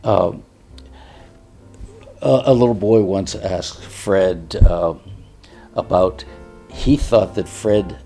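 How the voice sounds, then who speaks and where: Mellow man, hesitant podcast co-host. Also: low-pitched, extremely slow, creaky, pauses often, faint music behind. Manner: hesitant, restarts and repeats words. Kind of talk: casual interview conversation.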